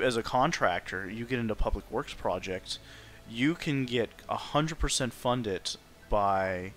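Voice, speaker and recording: A man's voice: lowish voice, a bit bright. A man giving his opinions in a casual, conversational debate. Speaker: participant in a group discussion.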